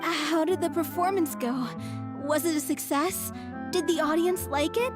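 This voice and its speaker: A woman playing a gentle, frail, resigned young theatre performer in a game, earnest in manner. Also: sits high, unhurried, music in the background. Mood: hopeful, apologetic. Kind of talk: game voice acting.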